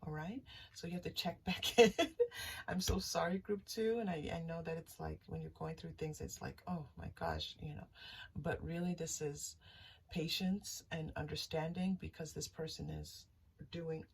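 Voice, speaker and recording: A woman hosting a casual tarot reading, speaking to listeners directly in a soothing, reassuring way and haltingly thinking aloud. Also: low-pitched, expressive, creaky, slightly rough. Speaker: tarot reader and channel host. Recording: distant mic, faint background noise.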